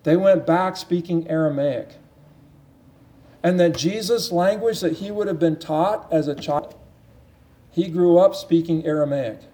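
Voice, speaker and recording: A man with a high, mellow voice preaches in an earnest teaching tone. He presses his points through repetition and often restarts his phrases. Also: a little slow, long pauses. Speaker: pastor. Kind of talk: sermon Bible teaching.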